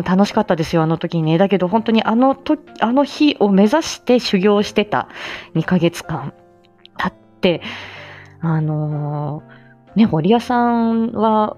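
A woman's voice: mid-range voice, darkish tone, lo-fi sound. A woman chatting casually to listeners as a livestream host, effusive and humble, often breaking into small laughs. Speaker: livestream host and amateur voice actor.

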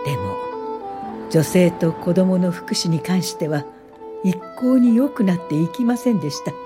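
A woman's voice: lowish voice, expressive, moderate pace, over music, natural voice. An actress playing an earnest woman welfare activist, narrating her past in careful, polite radio drama style. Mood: grave, recollecting. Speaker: narrator and lead character.

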